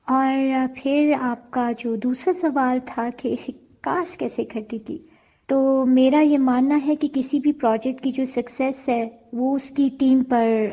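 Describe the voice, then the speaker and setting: A woman's voice: sits high, dark tone, dull audio. A woman hosting a casual interview chat, mixing English phrases into her speech. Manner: mixes Urdu with English phrases. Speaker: interview host and audio drama producer.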